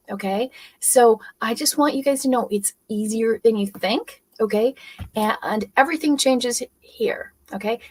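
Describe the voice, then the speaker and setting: Upbeat German-born woman business coach. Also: on the high side. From Europe. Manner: energetic, persuasive, tag questions "okay?". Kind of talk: motivational webinar talk.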